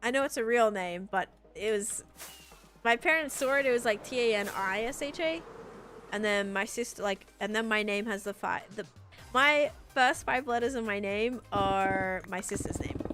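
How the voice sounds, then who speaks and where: A woman talks in a mid-pitched voice over clearly audible background music. She is live-streaming a game, commenting on what she does and answering viewers. Her delivery is informal and chatty, as if thinking out loud. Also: moderate pace. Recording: music behind the voice.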